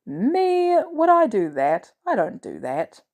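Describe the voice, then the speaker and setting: Female reader as wisecracking male tech hero in a story, smitten, flippant, relaxed timbre. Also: a little high, slow pace, pitched above the performer's own voice, full character voice. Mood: mock indignant. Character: witty, lovesick male tech billionaire hero. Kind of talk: storybook character dialogue.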